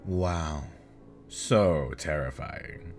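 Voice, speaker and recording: A man's voice: very deep voice, very slow, darkish tone, soft background music, heavily acted. A man playing a smug, teasing elder demon nobleman with mocking politeness and flirtatious charm, in an ASMR fantasy roleplay. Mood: amused, sardonic.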